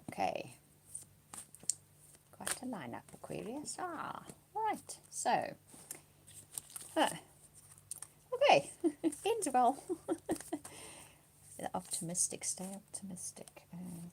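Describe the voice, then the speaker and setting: A woman doing a monthly tarot reading, slightly hoarse from a cold, speaking softly and reassuringly, dropping to a whisper as she reads the cards. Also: on the low side, slight background noise. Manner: soft-spoken, often drops to a whisper reading cards; reassuring, often checks in with "okay?". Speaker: tarot card reader.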